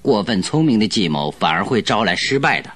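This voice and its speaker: An actor playing a shrewd, secretive male detective in a radio drama, with a husky, unhurried, coaxing delivery. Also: fairly low pitch, very animated, a bit bright, exaggerated voice. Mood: calm, scheming. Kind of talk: Mandarin radio drama acting.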